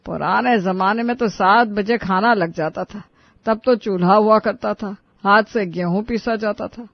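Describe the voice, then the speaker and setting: A man's voice: high-pitched, a little slow, vocal fry, no room sound. A man playing an old-fashioned, taunting elderly father-in-law in a family story, grumbling and demanding. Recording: dull lo-fi audio.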